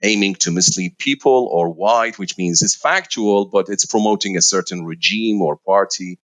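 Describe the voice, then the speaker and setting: A middle-aged man from Iraq with a fairly low voice. He answers as a guest researcher, pausing often on fillers and restarting phrases. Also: fairly bright tone. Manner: hesitant, many uh fillers and restarts. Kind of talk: interview answers.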